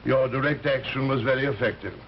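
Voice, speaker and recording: An actor playing a genial, eccentric scientist villain in an old broadcast drama, speaking with hesitant, unrepentant politeness. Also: male voice, mid-range voice, fairly even intonation, natural voice. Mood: mild regret. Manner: courteous, hesitant, unhurried politeness. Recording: muffled vintage broadcast, faint noise.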